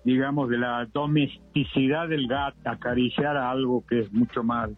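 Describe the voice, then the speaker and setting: An older man from Argentina, a puppeteer guest, answering radio interview questions in a reflective, measured way with many hesitations. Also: medium pitch, fairly even intonation. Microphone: phone. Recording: tinny phone line, faint music.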